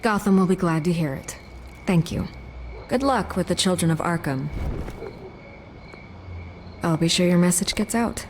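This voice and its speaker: A woman playing an earnest, probing news reporter in a video game, speaking in a pointed, deliberate, naturalistic way. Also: lowish voice, noisy background, lightly acted. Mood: grateful.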